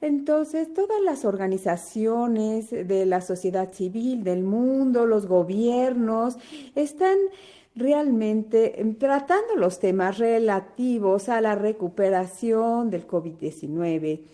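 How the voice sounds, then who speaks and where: Argentine lady hosting a video call webinar, higher voice, takes her time saying hi to everyone. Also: animated, warm tone. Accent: Argentine Spanish. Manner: unhurried, greeting attendees one by one. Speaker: webinar host. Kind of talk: live webinar welcome.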